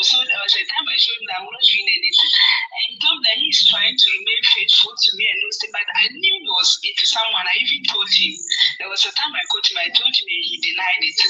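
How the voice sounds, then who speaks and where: A woman's voice: a little high, pushed and bright, echoey room, a little hiss or hum, poor audio. A woman, calling in for counselling and answering hesitantly and politely. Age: 20s. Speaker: caller. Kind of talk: phone-in counselling call.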